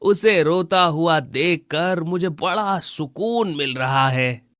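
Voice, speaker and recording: A man's voice: on the high side, slow pace, a bit bright, no echo, studio quality. A man voicing a scheming, jealous village husband in a cartoon moral story, with exaggerated delivery. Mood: gloating. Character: scheming, jealous village husband, gloating over a neighbour's misery. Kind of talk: Hindi animated moral story dialogue.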